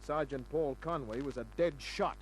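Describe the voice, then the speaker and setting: A man's voice: mid-range voice, normal speed, very noisy, close to an everyday voice. An actor playing a tough private detective who wryly narrates his cases in a 1950s radio drama. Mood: wry narrating. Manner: wry, hard-boiled.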